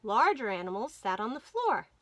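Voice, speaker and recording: A woman hosting a children's storytime, reading a picture book aloud with clear phrasing and emphasis for young listeners. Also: a little high, sing-song, normal speed, a bit bright, no room sound. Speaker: children's librarian, storytime host.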